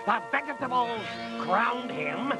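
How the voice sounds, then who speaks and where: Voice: medium pitch, animated, slow pace. An actor playing a pompous, theatrical, self-crowned male vegetable king in a cartoon, with grandiose delivery. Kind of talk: exaggerated cartoon villain voice acting. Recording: music behind the voice.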